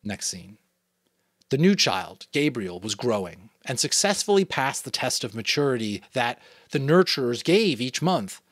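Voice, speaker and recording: A man's voice: medium pitch, a little slow, dry recording, clean studio sound. A man from New England with a New England American accent, reading a novel aloud expressively, now and then correcting himself. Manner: expressive, occasional slips and self-corrections. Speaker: audiobook reader. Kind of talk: dramatic audiobook reading.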